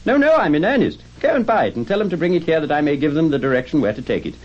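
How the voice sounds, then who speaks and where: Storybook old miser, acted by a male narrator: fervent, theatrical, wildly swooping pitch, slightly muffled recording. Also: very animated, brisk, a little mellow. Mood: excited, delighted.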